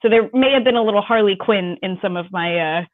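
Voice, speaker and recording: A woman's voice: mid-range voice, brisk, no echo, phone-quality sound. A woman panelist chatting casually in a rambling, self-deprecating way. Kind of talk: casual chat.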